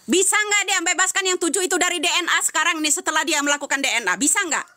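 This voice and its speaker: A woman's voice: very high voice, quick pace, sharp tone. A woman giving heated live-stream commentary, answering comments with insistent, repeated rhetorical questions.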